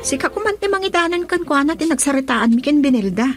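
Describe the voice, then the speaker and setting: A young Ilocano woman in a radio love drama, a loyal and concerned friend. She has a high-pitched voice and an Ilocano accent. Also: animated, fast talker, over music. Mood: worried.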